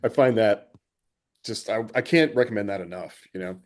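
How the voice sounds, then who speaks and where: A man's voice: fairly low pitch, moderate pace, a little mellow. A man co-hosting a podcast, talking casually in an informal, rambling way. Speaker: podcast co-host. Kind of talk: casual book discussion.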